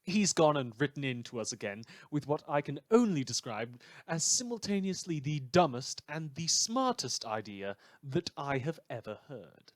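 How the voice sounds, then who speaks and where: Male actor as sardonic superhero-world newscaster, slightly high voice, theatrical, very dry close recording. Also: big pitch swings, normal speed, few pauses, dry recording. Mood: wry. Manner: sarcastic, theatrical, dry asides. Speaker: radio news host. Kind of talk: scripted comedic news broadcast.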